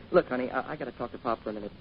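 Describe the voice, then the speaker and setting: An actor playing an eager, earnest young American son in an old-time radio drama, boyish and a little bashful, with a stammering manner. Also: male voice, medium pitch, fast, vocal fry, lightly acted. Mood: eager, teasing. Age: young adult. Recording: dull, hissy old radio recording.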